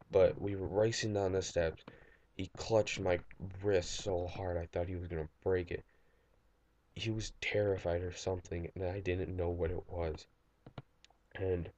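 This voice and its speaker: A young man playing a traumatized survivor recording an audio log, halting and distraught, stammering and repeating himself in an amateur audio drama. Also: low-pitched, dark tone. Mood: uneasy, bewildered.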